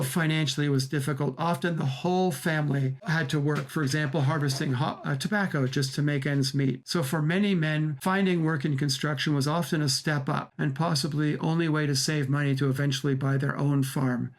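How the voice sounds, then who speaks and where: A man giving a prepared, first-person radio account as a documentary director, speaking in an earnest, emphatic way. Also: a little high.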